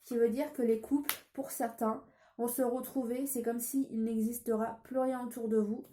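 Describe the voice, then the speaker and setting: A woman with a cold reads tarot cards for her viewers in a casual, hesitant way, pausing on fillers and restarting her sentences. Her voice is fairly high yet mellow and soft. It rings in an echoey room over a faint hiss. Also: flat delivery. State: has a cold. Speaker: tarot card reader. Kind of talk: casual tarot reading vlog.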